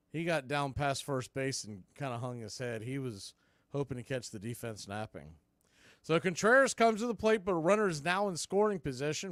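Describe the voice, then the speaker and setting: Clear higher-voiced man calling baseball. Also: no echo. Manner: clipped play calls in short phrases. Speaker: baseball play-by-play announcer. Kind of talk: live radio sports commentary.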